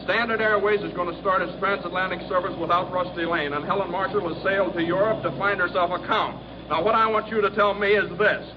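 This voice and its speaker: An actor with an American accent playing a brash, lovestruck pilot in an old Hollywood radio drama. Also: male voice, high-pitched, steady tone, brisk, hardly any pauses, lightly acted. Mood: brash, insistent. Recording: muffled, noisy vintage radio recording.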